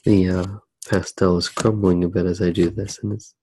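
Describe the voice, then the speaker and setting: A soft-spoken man narrating his drawing in an ASMR style, unhurried and halting, with long pauses. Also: low-pitched, level tone, gravelly, noisy background. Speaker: ASMR artist demonstrating a drawing.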